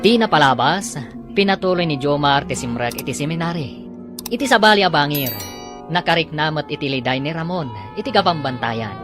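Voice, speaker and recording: A man's voice: a little high, lively intonation, a little fast, over music. A man from the Philippines announcing a radio drama episode in a formal, scripted way.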